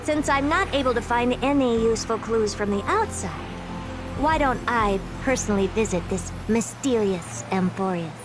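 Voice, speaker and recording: Haughty genius scientist played by a woman, higher-pitched, theatrical and condescending, for a game with music behind her. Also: lively intonation, normal speed, natural voice. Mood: smug, explanatory. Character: haughty, playful genius woman researcher, theatrical and self-assured. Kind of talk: video game voice acting.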